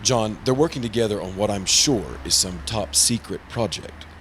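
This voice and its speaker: A man's voice: on the low side, normal speed, a bit bright, slight background noise, natural voice. A man playing a prim, earnest, easily flustered insurance broker in old-time radio drama style. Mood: worried, explanatory. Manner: prim, formal, exasperated. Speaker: supporting actor in a radio play.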